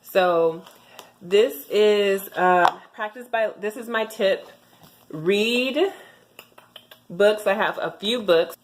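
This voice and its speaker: A woman's voice: medium pitch, drawn-out words, long pauses. A young adult woman giving a casual tutorial vlog, chatty with frequent ums and restarts. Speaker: vlogger sharing language tips. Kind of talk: casual tutorial talk to camera.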